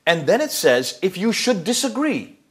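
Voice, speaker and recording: A man's voice: high voice, big pitch swings, normal speed, slightly rough. A man giving an explanatory lecture to an interviewer, emphatic, with rhetorical questions and frequent fillers and restarts.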